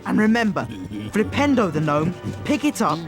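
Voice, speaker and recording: A high-pitched, friendly boy wizard in a fantasy game. He is chummy and casual as he explains things. Loud music runs underneath. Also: sing-song, moderate pace. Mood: chummy, instructing. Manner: casual, instructive. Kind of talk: video game cutscene acting.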